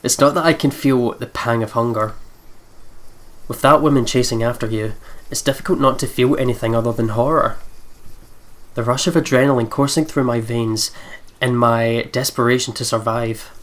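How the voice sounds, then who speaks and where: Man, mid-pitched voice, let's player, narrating a visual novel, casual, sweary, joking. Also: steady tone, normal speed, a bit bright. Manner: sweary, jokey.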